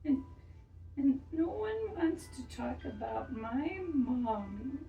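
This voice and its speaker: A woman voicing a lonely little girl who misses her mother, speaking haltingly and softly. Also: high-pitched, expressive, vocal fry, echoey room, far from the mic, soft background music, voice pushed up, exaggerated voice. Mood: wistful. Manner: hesitant, halting, soft.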